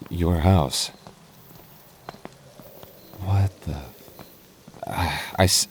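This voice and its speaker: A low-pitched young goth boyfriend, acted by a man in an intimate audio roleplay. He speaks earnestly and haltingly, stammering as he goes. He is protective and playful, and gets flustered easily. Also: darkish tone, slight background noise. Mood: puzzled, startled, then hesitant, intrigued.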